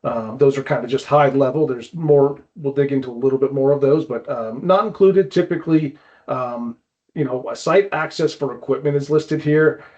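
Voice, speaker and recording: A man speaking as a guest expert, explaining casually with halting phrasing and repeated words. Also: medium pitch, warm tone, lo-fi sound. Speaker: guest expert, climbing wall builder.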